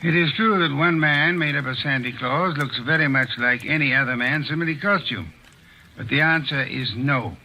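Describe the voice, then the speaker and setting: An actor playing an imperious, fastidious middle-aged detective in old radio drama, speaking in precise, measured phrases. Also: male voice, mid-range voice, sharp tone, slight background noise, rough recording. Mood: lecturing. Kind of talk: 1940s radio acting.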